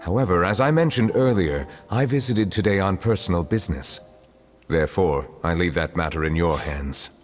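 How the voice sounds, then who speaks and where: A man voicing a formal, solemn, ancient chief justice in a video game, speaking in a measured, restrained way. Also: deep voice, long reverb tail, faint music behind, close to an everyday voice. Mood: calm.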